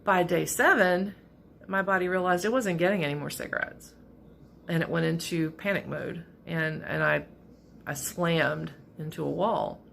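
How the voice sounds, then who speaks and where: Middle-aged woman vlogger, raspy and gravelly, lowish voice, candid self-deprecating ramble. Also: normal speed, fairly bright tone, a little hiss or hum. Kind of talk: casual personal vlog. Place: office at work.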